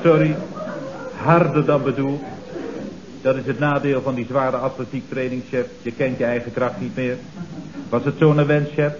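An actor playing a meek, deferential employee in a comic radio play, hesitant, stammering and politely evasive. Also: male voice, mid-range voice, steady tone, moderate pace, pauses often, close to an everyday voice. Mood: apologetic. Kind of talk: comic radio play acting, around 1970. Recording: muffled, noisy vintage radio recording.

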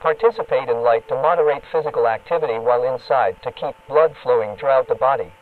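A man playing an official emergency alert announcer, reading a scripted warning in a formal, impersonal, measured way through a radio filter. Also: mid-range voice. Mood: formal, grave. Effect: radio broadcast filter. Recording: narrow, phone-like radio broadcast sound.

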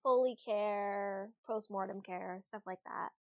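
A woman's voice: mid-range voice, steady tone, slow, a little mellow, dry recording, studio quality. A woman in her thirties with a young-sounding voice, answering interview questions as a guest. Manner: young-sounding, light laughs. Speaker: guest, nursing assistant.

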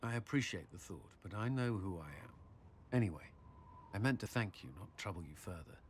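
An actor playing a humble, haunted military man in a video game, halting and awkwardly sincere. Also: sits low, animated. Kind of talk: video game cutscene acting. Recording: faint outdoor ambience noise.

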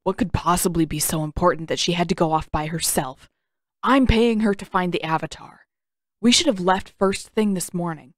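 A woman's voice: on the low side, normal speed, dry recording, studio quality, natural voice. A woman voicing an impatient, hot-tempered teenage prince, curt and demanding, in an audiobook. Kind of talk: audiobook character voice.

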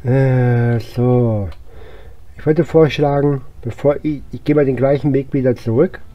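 A man's voice: mid-range voice, steady tone, unhurried, lo-fi sound. A man who sounds a bit under the weather, giving casual let's play commentary in short, muttered fragments to himself. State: unwell, recovering from illness. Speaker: gaming YouTuber.

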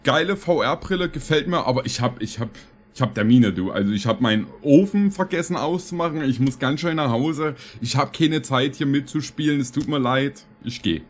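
A man's voice: mid-range voice, lively intonation, moderate pace, slight background noise. A man in his thirties giving casual, tongue-in-cheek reaction commentary as a streamer, rambling as he jokes. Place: indoor streaming room.

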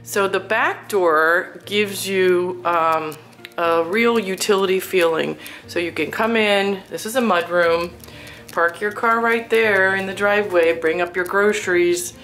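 Slow-talking woman giving an admiring home tour. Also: mid-range voice, a bit bright. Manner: admiring, exclamatory. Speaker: realtor showing a home for sale. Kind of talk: casual walkthrough home tour narration. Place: indoors, inside a furnished house.

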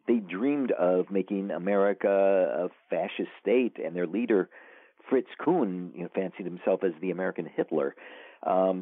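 A man's voice: low voice, dry recording. A man being interviewed as an author, answering thoughtfully with many hesitations. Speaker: author guest. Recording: tinny phone line.

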